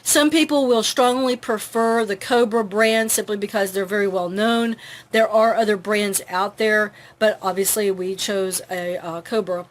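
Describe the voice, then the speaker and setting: A woman's voice: mid-range voice, fairly even intonation, a little slow. A middle-aged woman hosting an informal how-to talk, chatty, with many fillers. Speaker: host.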